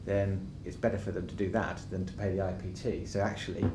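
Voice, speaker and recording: A man with a very deep, flat, even voice co-presents a conference session, giving plain practical examples. He is heard in an echoey conference room with clear noise behind him. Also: fast talker.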